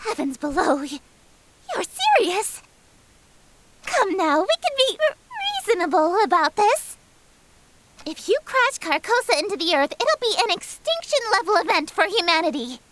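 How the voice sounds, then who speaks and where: Super high haughty eldritch queen for a visual novel, bossy and dramatic, pitch jumps all over. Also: sing-song, moderate pace, a bit bright, exaggerated voice. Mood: alarmed. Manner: theatrical, domineering, grandiose. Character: haughty female eldritch deity, imperious theatrical seductress. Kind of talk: anime-style visual novel game acting.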